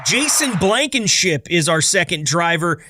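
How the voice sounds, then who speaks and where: A man's voice: high-pitched, big pitch swings, a little slow, noisy background. An American man, around his thirties, hosting a podcast in a casual, chatty style with upbeat, playful patter. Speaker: podcast host. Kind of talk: casual, chatty scripted-loose podcast intro.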